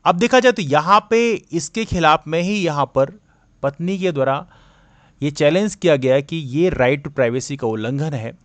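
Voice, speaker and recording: Slightly high-pitched man teaching. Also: animated, moderate pace, dry recording. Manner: didactic, code-switches between Hindi and English. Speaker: exam coaching teacher and presenter. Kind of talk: explanatory lecture on current affairs.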